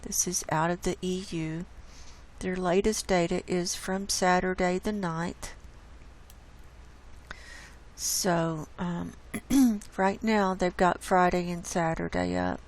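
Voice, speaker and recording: A woman host with a hoarse voice, casually walking viewers through data shown on her screen. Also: on the low side, fairly even intonation. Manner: unhurried, explains data on screen. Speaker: channel host presenting climate data. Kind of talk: casual screen-share presentation.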